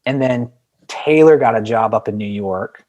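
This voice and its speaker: A man's voice: mid-range voice, moderate pace, a little mellow, muffled recording. Man telling band stories casually. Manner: rambling, peppered with like and you know. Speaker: podcast guest, indie band frontman. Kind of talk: casual conversational storytelling.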